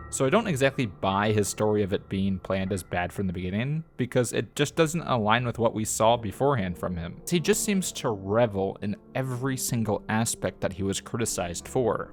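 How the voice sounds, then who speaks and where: A man delivering a scripted video review as a narrator, with a blunt, critical way of talking. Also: medium pitch. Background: background music.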